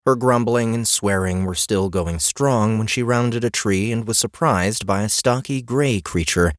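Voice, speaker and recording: A man's voice: fairly low pitch, unhurried, dry recording, clean studio sound. A man narrating a fantasy audiobook as a scripted story reading.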